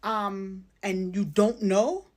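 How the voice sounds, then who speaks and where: A woman's voice: mid-range voice, steady tone, slow pace, a little mellow. A woman with a Caribbean accent talking to camera, explaining her opinion emphatically with rhetorical tag questions. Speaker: content creator.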